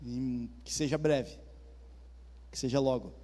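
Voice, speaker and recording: A man's voice: medium pitch, fairly even intonation, slow pace. A man with a southern Brazilian accent preaching a sermon, talking with the congregation and asking them questions. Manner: conversational, asks the congregation questions. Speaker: pastor. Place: church hall. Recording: reverberant church hall with soft music.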